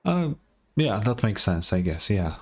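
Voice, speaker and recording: A woman's voice: extremely low pitch, sing-song, unhurried, breathy edge. A woman streamer giving casual, laid-back, brief reactions to a video game.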